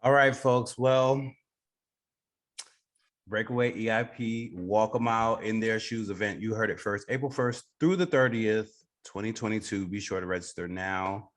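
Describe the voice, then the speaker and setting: A man's voice: on the low side, normal speed. A man hosting a podcast, interviewing a guest in a casual conversational way. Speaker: podcast host.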